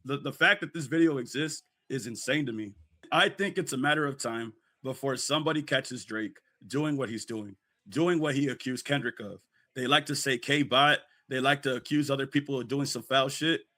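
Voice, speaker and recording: A hoarse-sounding man giving casual, slangy opinion commentary as an online video host. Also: mid-range voice, moderate pace, pushed and bright.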